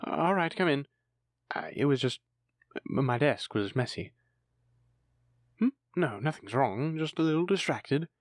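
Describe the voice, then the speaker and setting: A man's voice: mid-range voice, lively intonation, unhurried, long pauses, dry recording, clean studio sound, natural voice. A man playing a bashful, bookish dragon lover in a romantic fantasy audio roleplay, stammering tenderly as he speaks to his sweetheart. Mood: flustered, evasive.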